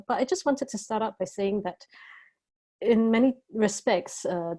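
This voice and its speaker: A woman's voice: mid-range voice, dark tone. A woman from Malaysia, giving expert remarks in a panel discussion. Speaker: NGO researcher, expert panel speaker.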